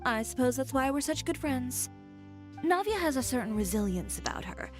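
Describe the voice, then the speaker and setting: A woman's voice: high voice, big pitch swings, moderate pace, music in the background. A woman voicing a poised, frank young shop owner in video game dialogue, articulate and matter-of-fact. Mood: composed.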